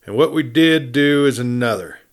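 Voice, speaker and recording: A man's voice: medium pitch, expressive, normal speed, close to an everyday voice. A man voicing a big, bold, defiant gunman who taunts and blusters in cowboy talk, from a western storybook. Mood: cool, defiant.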